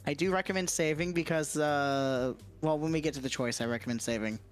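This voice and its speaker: A woman's voice: deep voice, brisk. Woman co-streamer, easygoing n giggly, chatting over some music. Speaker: co-streamer, guest voice actor. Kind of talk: casual livestream chat.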